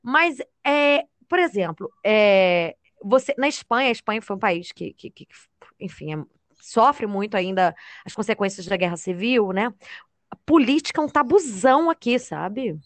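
A woman's voice: mid-range voice, lively intonation, normal speed, no room sound. A young adult Brazilian woman chatting casually in a sociable, conversational manner. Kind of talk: casual chat.

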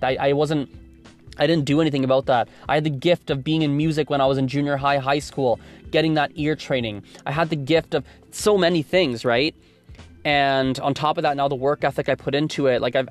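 A man in his twenties from Canada, hosting a solo podcast and thinking aloud, rambling with many restarts. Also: mid-range voice. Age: 20s. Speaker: podcast host.

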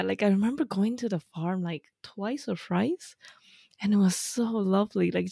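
Young female interviewer, heavy vocal fry, mellow and dry. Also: fairly low pitch, no room sound. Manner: warm-hearted, chatty, frequent "like". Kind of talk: casual interview conversation.

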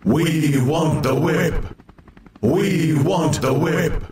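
A man voicing a mob of sightseers in a dramatized audiobook, chanting in a loud, insistent monotone amid crowd noise. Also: fairly high pitch, voice pushed up. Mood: insistent, excited. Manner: slow, loud, rhythmic chanting. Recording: roomy, crowded, noisy backdrop.

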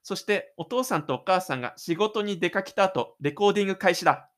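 A narrator voicing a stubborn, lonely high school boy musician in excited, rambling self-talk for an audio drama. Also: a little fast, lightly acted. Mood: excited, scheming. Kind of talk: audio drama inner monologue.

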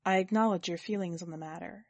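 A woman voicing a formal, even-toned superintelligent machine in a story narration. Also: fairly low pitch, fast, no echo. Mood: even, gentle. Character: calm, grave machine superintelligence, formal and measured.

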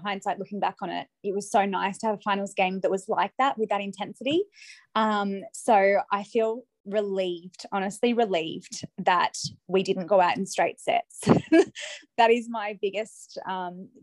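A young woman talks sport on a podcast, chatty and quick to laugh. The recording is dry and close, with no room around her voice. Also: mid-range voice, dry recording. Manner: giggly, chatty, relieved. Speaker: podcast guest, sports fan. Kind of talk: casual chat.

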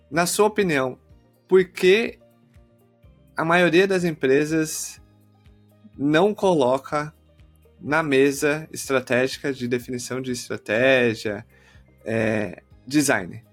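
A man's voice: mid-range voice, a bit bright. A man, hosting a podcast and asking playful, provocative questions. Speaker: podcast host. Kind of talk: interview question.

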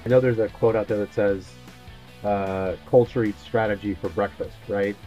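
A man from Mexico who grew up in West Texas, explaining things as a podcast guest in a relaxed, easygoing way with plenty of fillers. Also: lowish voice, moderate pace, dull audio, faint music behind. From Mexico, raised in West Texas. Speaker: podcast guest, sales advisor. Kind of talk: casual interview answers.

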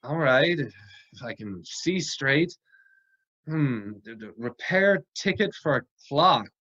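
A man's voice: medium pitch, animated, takes it slowly, sharp tone, dull audio, full character voice. A man playing a tough, dogged, wry amateur sleuth with clipped delivery in vintage-style radio drama. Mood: wry, curious.

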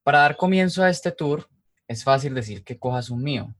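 A male podcast host with a Colombian accent from Cali. His voice sits at a middle pitch, and he speaks in an informal narrating style. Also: animated, normal speed.

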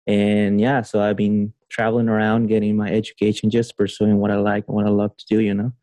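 A man's voice: fairly low pitch, completely flat delivery, brisk, warm tone, no room sound. A young man from Honduras being interviewed on a podcast, chatting casually with lots of fillers and restarts. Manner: rambling, many fillers and restarts, laughs often. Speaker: podcast guest, PhD student.

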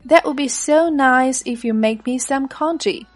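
A woman's voice: sits high, measured pace. A woman reading the part of speaker B in a practice dialogue, plain and careful. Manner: plain, careful read-aloud of lesson lines. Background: faint background music.

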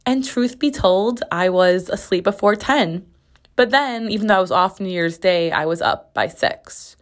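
A young woman hosting a casual solo podcast from her bathroom, her voice slightly raspy as it recovers from being lost, chatting in a rambling way full of "like"s. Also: mid-range voice, a little slow. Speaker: podcast host. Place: home bathroom.

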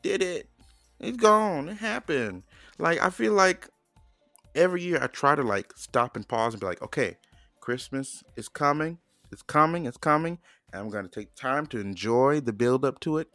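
A man's voice: fairly high pitch, lively intonation, normal speed, bright, forceful tone, dry recording, faint music behind. A young man co-hosting a podcast in casual chat, playful and jokey, sometimes breaking into silly sing-song. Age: young adult. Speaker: podcast co-host.

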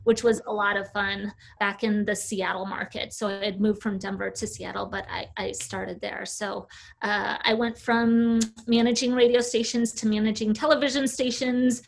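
A woman in her forties, an agency founder, telling her career story in a relaxed interview with frequent "uh"s. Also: mid-range voice, moderate pace, creaky. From United States. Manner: chatty, fluent storyteller with filler "uh"s. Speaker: agency CEO, guest. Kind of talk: casual interview talk.

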